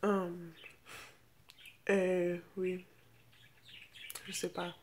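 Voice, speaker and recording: A young American woman, a native English speaker, chatting casually to camera and haltingly searching for words in a second language. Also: on the low side, flat delivery, a little hiss or hum. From United States. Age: teens to young adult. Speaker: vlogger. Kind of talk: casual unscripted chat to camera.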